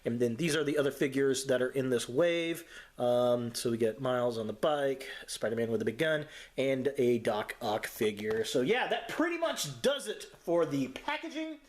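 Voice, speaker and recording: A man's voice: mid-range voice, expressive, moderate pace. Chatty man in an echoey room. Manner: informal, chatty, slangy. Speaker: host of a toy review channel. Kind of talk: casual unboxing review commentary. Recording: roomy, echoey sound.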